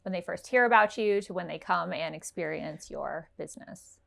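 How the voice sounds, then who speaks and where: A woman's voice: lowish voice, normal speed, creaky. A woman hosting a casual interview, chatty and affirming as she talks with her guest. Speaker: interviewer, host.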